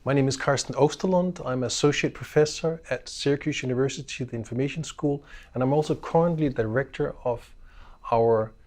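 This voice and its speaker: Professor, male, middle-aged, Danish accent; calm, unhurried lecture delivery, filler-heavy, average pitch. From Denmark. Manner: measured, unhurried delivery with frequent ums and uhs. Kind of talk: recorded course introduction lecture.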